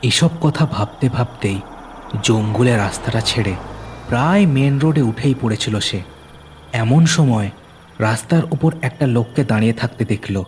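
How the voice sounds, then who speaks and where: A man narrating a horror story dramatically, with suspenseful, deliberate phrasing. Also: mid-range voice, expressive, darkish tone, slight background noise. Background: music.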